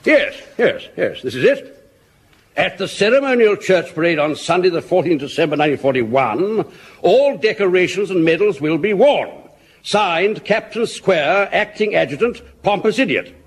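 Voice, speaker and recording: An actor playing a brash, boastful captain in a radio sitcom. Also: male voice, high-pitched, lively intonation, normal speed, a little hiss or hum. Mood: brusque. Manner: brusque, boastful. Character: brash, boastful male captain, a veteran of an earlier war. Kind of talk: 1970s radio sitcom acting.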